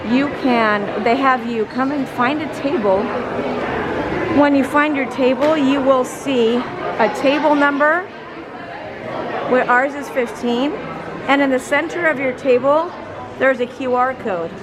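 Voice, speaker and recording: A woman travel vlogger, a bit hoarse from losing her voice, chatting step by step through a theme park visit. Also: high voice, unhurried. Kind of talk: casual vlog narration. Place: busy theme park, indoors and out. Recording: crowded park noise with music. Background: crowd chatter and music.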